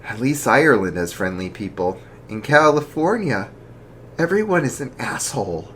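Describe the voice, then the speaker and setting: A man's voice: medium pitch, big pitch swings, moderate pace, a bit bright, slight background noise, pitched above the performer's own voice. A storyteller voicing a weary young man on the run, speaking earnestly, close to their own voice.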